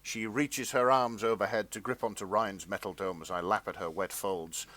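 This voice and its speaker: A man's voice: lowish voice, steady tone, normal speed, a bit bright. A man hosting a podcast, reading fan fiction aloud with mock-serious narration.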